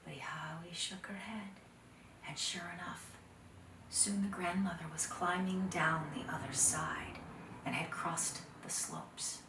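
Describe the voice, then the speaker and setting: A woman telling a folktale to children, dramatic, expressive and deliberate, sometimes dropping to hushed phrases. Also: on the low side, slight background noise. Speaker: storyteller. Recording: roomy echo, distant microphone.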